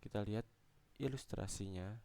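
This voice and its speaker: Young male student presenter. Smooth, lowish voice, halting step-by-step technical explanation. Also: dark tone. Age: young adult.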